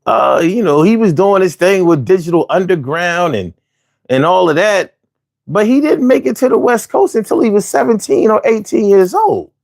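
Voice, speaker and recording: Man in his forties, philly accent, argumentative, slangy, lively pitch swings. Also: moderate pace, creaky. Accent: Philadelphia. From Philadelphia. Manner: animated, argumentative, slangy, restarts often. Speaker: host. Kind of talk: casual opinionated monologue.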